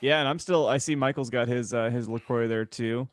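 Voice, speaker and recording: A man's voice: medium pitch, a little fast, dry recording. Male co-host, mid-range voice, joking conversational delivery, recorded dry. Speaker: podcast co-host. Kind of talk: casual joking banter.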